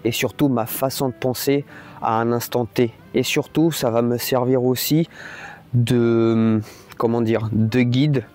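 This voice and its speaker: A man's voice: medium pitch, steady tone, measured pace, a little mellow. Rambling, blunt Portuguese-speaking man vlogging. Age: adult, parent. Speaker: YouTuber, host. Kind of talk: casual unscripted vlog monologue.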